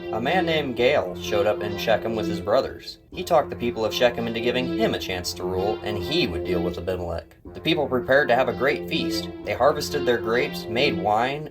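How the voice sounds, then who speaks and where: A man narrating a scripted Bible story in a dramatic storytelling style. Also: medium pitch. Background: loud instrumental music.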